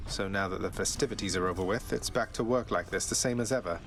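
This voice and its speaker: Low-voiced, wry young underworld prince. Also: male voice, fast. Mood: gentle teasing. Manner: dry, gently sarcastic, affectionate. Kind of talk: video game voice acting.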